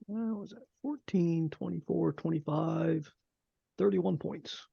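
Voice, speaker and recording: A man playing a tabletop game, casually calling out dice rolls in a gravelly, husky voice over muffled online-call audio. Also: a little high, slow, no echo. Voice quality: very creaky, husky.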